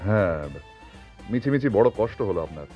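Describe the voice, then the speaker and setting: A man's voice: lowish voice, animated, moderate pace, soft background music, natural voice. Man acting a blustering, scheming miserly householder, muffled. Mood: smoothly placating. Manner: exasperated, blustering, colloquial. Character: irritable, scheming middle-class householder, miserly master. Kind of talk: Bengali audio story acting.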